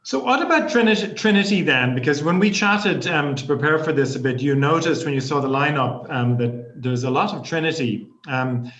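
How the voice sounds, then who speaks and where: A man's voice: fairly high pitch, moderate pace, few pauses, a bit bright. A man in his forties, a professor hosting an interview, asking engaged questions with frequent ums. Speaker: professor, host. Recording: echoey room, dull lo-fi audio.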